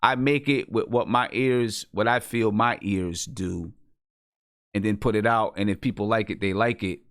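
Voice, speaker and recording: A man's voice: lowish voice, moderate pace, no echo, studio quality. A man hosting a music show, talking casually as a beat maker and repeating his phrases for emphasis. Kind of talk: casual chat about music.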